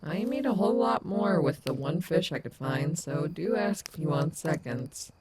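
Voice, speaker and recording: A woman's voice: low voice, lively intonation, normal speed, noisy background. A woman playing a confident, protective animatronic wolf in an audio roleplay, sarcastic but tender toward her companion. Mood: gentle, caring.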